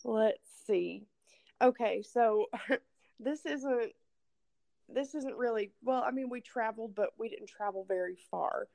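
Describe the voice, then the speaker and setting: A hoarse woman, casually telling a rambling, profane story as podcast host. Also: on the high side, measured pace, a little mellow. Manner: rambling, swearing, laughing storyteller. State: hoarse, losing her voice from illness.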